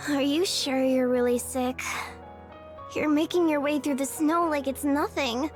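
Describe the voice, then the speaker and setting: A woman's voice: high-pitched, fairly even intonation, unhurried, soft background music. An actress voicing a small, naive, childlike girl in an anime-style game, plaintive, simple and direct. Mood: curious.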